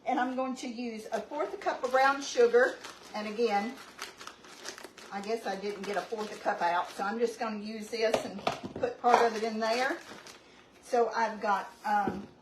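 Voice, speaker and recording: A woman's voice: on the high side, fairly even intonation, normal speed. Chatty woman in a roomy kitchen. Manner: chatty, rambling, homey asides. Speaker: home cooking channel host. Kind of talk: casual cooking demonstration. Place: home kitchen. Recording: roomy, distant, noisy home recording.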